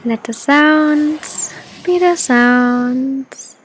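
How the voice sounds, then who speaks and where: A woman leading a guided meditation in a soft, gentle, soothing manner, leaving long pauses between phrases. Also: high voice, slight background noise.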